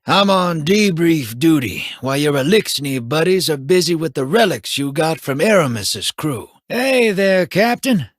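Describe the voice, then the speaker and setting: A husky, wisecracking young scout. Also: male voice, on the high side, no room sound, studio quality, full character voice. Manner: casual, joking, wry. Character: wry young human scout, easygoing and self-mocking.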